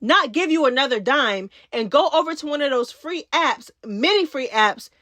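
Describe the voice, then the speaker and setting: A woman with a high voice hosts a casual reality TV commentary. She talks in a sassy, slangy way straight to her viewers. The sound is very dry, with hardly any room in it. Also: expressive, normal speed, bright, forceful tone, dry recording. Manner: sassy slangy talk addressing viewers as baby and girl. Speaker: YouTube host.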